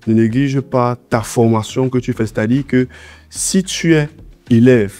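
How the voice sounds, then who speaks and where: A man's voice: mid-range voice, a little slow, warm tone. A man with a slight head cold, talking conversationally as an interview guest and repeating points for emphasis. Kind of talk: conversational interview answers, giving advice.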